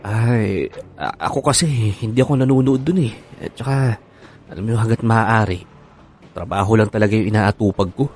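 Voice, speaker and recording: An actor playing a homesick, evasive seafarer husband in naturalistic radio drama, halting and repeating himself. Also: male voice, fairly low pitch, a little mellow, slight background noise, natural voice. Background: music bed.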